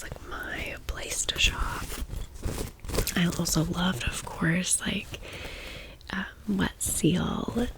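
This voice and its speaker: Woman doing asmr storytime, breathy whisper w lots of fry, casual and chatty. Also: lowish voice, low-quality recording. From Tennessee, United States. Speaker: ASMR video host.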